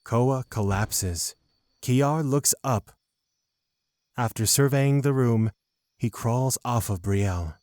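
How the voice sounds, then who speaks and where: Male narrator, medium pitch, close dry sound, scripted fantasy storytelling. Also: slow pace, long pauses, a little mellow, no room sound.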